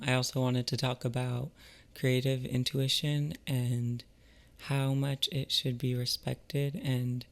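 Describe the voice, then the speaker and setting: A man chatting casually and reflectively to viewers as a vlogger, in a halting, musing way with trailing pauses. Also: mid-range voice. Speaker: vlogger and artist.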